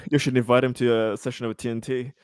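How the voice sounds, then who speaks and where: A man's voice: medium pitch, flat delivery, fast talker, a little mellow, smooth, no echo, clean studio sound. A man playing at a tabletop game, throwing in casual, wry side comments on what the characters are doing. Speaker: tabletop roleplaying player.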